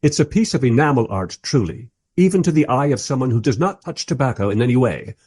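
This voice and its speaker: A man playing a wry, politely exasperated film director who narrates a radio play in conversational asides with a husky voice. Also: medium pitch, lively intonation, fast, darkish tone, no room sound, clean studio sound. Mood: dry, amused.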